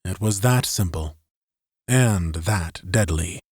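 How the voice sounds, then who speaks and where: A man's voice: deep voice, slow, darkish tone, slightly rough, no echo, studio quality. A man reading dramatic scripted narration as an audiobook narrator.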